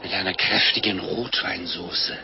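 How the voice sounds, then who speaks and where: An actor playing a fat, jolly, gluttonous middle-aged monk with an unctuous, excitable manner in a 1950s radio play. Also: male voice, low voice, a little slow, noisy background. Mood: hungry, musing. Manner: unctuous, food-loving, excitable. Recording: dull vintage radio recording with music.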